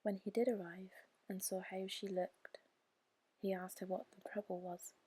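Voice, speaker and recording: A woman whispering a bedtime story in a soft, breathy, unhurried and soothing ASMR style. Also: medium pitch, flat delivery, no echo. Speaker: storyteller, ASMR channel host.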